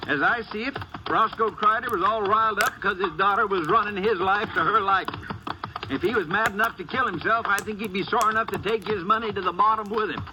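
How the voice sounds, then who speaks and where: An actor playing a plain-spoken, practical small-town sheriff with an American accent and folksy manner in a vintage radio drama. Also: male voice, high voice, fairly quick, natural voice. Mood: reasoning. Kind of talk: 1950s radio drama acting. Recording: noisy vintage radio recording.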